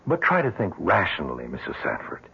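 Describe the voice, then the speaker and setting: An actor playing a skeptical, rational doctor in a radio drama, deliberate and patronizing. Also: male voice, a bass voice, wildly swooping pitch, gravelly, pushed and bright, breathy edge, exaggerated voice. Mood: patronizing, reasoning. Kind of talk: old-time radio drama acting. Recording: muffled vintage radio drama recording.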